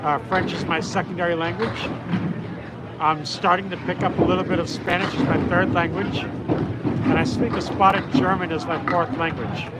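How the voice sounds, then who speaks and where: Chatty American man, high voice, noisy. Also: fairly even intonation, normal speed. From United States. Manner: chatty, rambling, drops in bits of French and Spanish. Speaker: bowler. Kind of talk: casual chat at a bowling alley. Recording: loud background noise.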